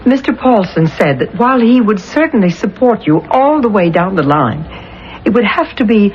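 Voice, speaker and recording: An American actress playing an anxious, devoted middle-aged wife in a radio drama, speaking in an earnest, tender, hesitant way. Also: female voice, mid-range voice, big pitch swings, moderate pace, natural voice. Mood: reassuring, careful. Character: anxious, devoted wife tenderly soothing her accused husband. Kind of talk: old-time radio drama acting. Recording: muffled, noisy 1970s radio broadcast.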